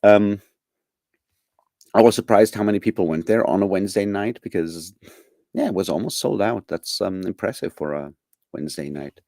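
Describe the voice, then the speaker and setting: A man's voice: deep voice, moderate pace, pauses often, a little mellow, no room sound, studio quality. A man chatting casually with his live viewers, rambling with lots of fillers, restarts and chuckles. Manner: chuckles often. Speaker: streamer.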